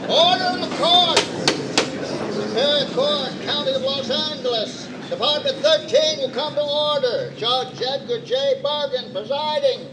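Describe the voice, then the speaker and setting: A man's voice: extremely high pitch, a little slow. A man playing an officious courtroom bailiff, calling out formally in 1940s radio comedy style. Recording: roomy, very noisy sound.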